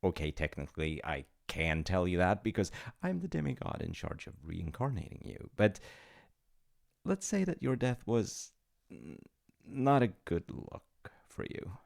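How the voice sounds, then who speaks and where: A man's voice: low voice, very animated, unhurried, vocal fry, a little mellow, full character voice. A man playing an awkward, chatty demigod of reincarnation in a comedic audio roleplay, stammering and apologetic as he talks to the listener. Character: flustered, chatty male demigod in charge of reincarnation.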